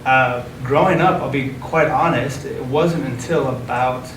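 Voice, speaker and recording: A man hosting an interview in a relaxed way, with frequent uhs. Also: mid-range voice, unhurried. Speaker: interview host. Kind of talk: conversational interview. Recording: roomy, from a distance, background noise.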